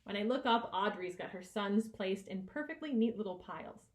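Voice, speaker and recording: A woman reading a middle grade novel aloud as its author, with animated, expressive delivery that acts out the narrator's reactions. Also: mid-range voice, moderate pace.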